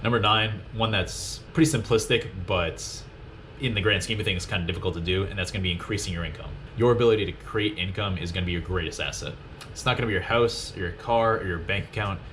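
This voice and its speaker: Fast-talking low-voiced young man. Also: fairly bright tone, a little hiss or hum. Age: 20s. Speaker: personal finance video host. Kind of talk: explanatory list-style talk to viewers.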